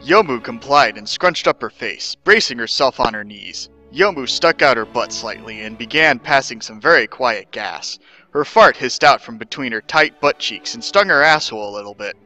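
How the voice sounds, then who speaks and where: Bright-voiced man reading a story dramatically. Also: mid-range voice, expressive, moderate pace, faint music behind, rough recording. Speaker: narrator of a fan fiction reading. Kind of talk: mock-serious dramatic reading of comic fan fiction.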